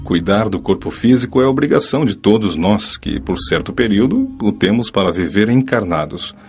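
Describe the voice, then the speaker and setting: A kindly male spirit doctor voiced by a man speaks in a deep, measured voice. He is calm and didactic, an audio-drama mentor with faint music beneath him. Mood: calm instruction. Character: kindly wise male spirit physician and rescuer.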